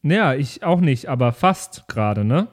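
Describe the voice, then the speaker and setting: A man's voice: medium pitch, sing-song, moderate pace. A man, a podcast host talking casually and informally to listeners in a self-mocking way. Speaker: podcast host and player of an improvised tabletop role-playing show. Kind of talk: casual chatty podcast outro.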